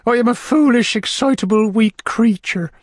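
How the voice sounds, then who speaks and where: A man's voice: very high-pitched, no echo, clean studio sound, voice pushed up. A man voicing a fluttery, whimpering widow in a storybook, simpering and drawing out the words. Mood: coy, feeble. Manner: breathless, drawn-out, simpering. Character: fluttery, whimpering middle-class widow, a matron in distress. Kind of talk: dramatised audiobook character voice.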